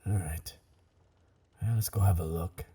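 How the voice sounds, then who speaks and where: A man playing a gruff, curious werewolf pirate captain in an audio roleplay, with a low gravelly voice, a stage pirate accent and slow, musing seafaring talk. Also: fairly even intonation, dark tone, exaggerated voice. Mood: baffled, wary.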